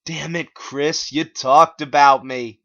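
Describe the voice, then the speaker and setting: Smug male villain alter ego, acted by a man: forceful, mocking, commanding, dull lo-fi sound. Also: a little high, steady tone, slow pace, pitched above the performer's own voice. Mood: irritated.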